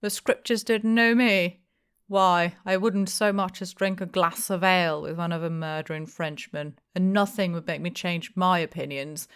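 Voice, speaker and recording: A woman voicing a blustering, jovial, opinionated male innkeeper in an audiobook, with loud, emphatic, rustic speech. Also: fairly low pitch, steady tone, moderate pace, clean tone. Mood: boastful, amused.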